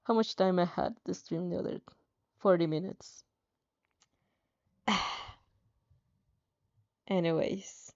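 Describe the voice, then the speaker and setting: Casting: female streamer, mellow and leisurely, stumbles and sighs through casual chat and story reading. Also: lowish voice, gravelly, lo-fi sound. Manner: halting, stumbling over words, sighing and chuckling. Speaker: streamer reading fan fiction aloud. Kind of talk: casual livestream chat and expressive read-aloud with asides.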